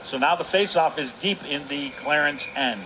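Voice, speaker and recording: A man's voice: a little high, normal speed. A man giving conversational color commentary at a hockey game, repeating phrases for emphasis. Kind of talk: conversational sports analysis. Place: ice hockey arena. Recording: faint arena noise behind the voice.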